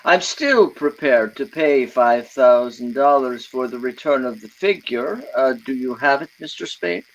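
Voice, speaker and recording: An actor playing a fussy, polite, furtive Greek crook with formal, hesitant phrasing, in old-time radio style. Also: male voice, medium pitch, measured pace, vocal fry, hardly any pauses, dull audio. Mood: wary, courteous. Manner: formal, hesitant, over-precise.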